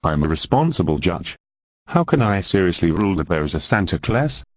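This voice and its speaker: A man's voice: low voice, big pitch swings, a little fast, no room sound. A man playing a flustered, worried elderly judge and grandfather in a radio play. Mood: flustered, troubled.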